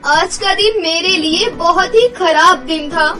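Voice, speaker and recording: A woman playing a poor, humble, worried village mother in an animated story, with a pleading, plaintive manner. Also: extremely high pitch, unhurried, soft background music, exaggerated voice. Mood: sorrowful. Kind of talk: Hindi animated moral story acting.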